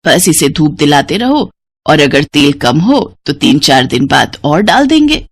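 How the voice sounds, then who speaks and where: A woman's voice: deep voice, sing-song, unhurried, voice pushed down, full character voice. A woman voicing a loving elderly grandmother in a story, kind and patient as she instructs. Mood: gentle, instructive.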